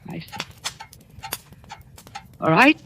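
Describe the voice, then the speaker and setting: A woman's voice: very slow, fairly bright tone, poor audio. An actress playing a brave, earnest young woman standing up to a traitor in an old radio drama. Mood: tense. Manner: earnest, tense. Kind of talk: 1940s radio acting. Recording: old radio recording with faint hiss.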